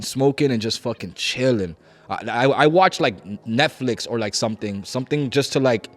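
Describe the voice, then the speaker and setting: Loose, profane young male podcast host. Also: mid-range voice. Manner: loose, profane, slangy chat. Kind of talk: casual podcast conversation.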